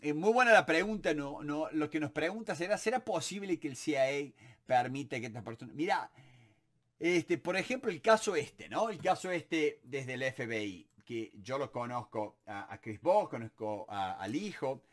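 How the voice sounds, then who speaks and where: A man in his forties with a Córdoba Argentine accent talks straight to his desk camera from a book-lined home office. His voice sits a little high, and he stays animated and encouraging as he answers viewer questions, often pausing on fillers. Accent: Córdoba Argentine Spanish. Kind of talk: casual Q&A to camera. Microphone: webcam or camera at desk, close framing.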